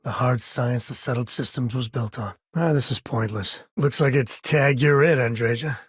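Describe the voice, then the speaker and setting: A man acting a husky, easygoing space-frontier ranger. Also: mid-range voice, normal speed, no echo, studio quality, close to an everyday voice. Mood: earnest, dry. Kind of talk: video game voice acting.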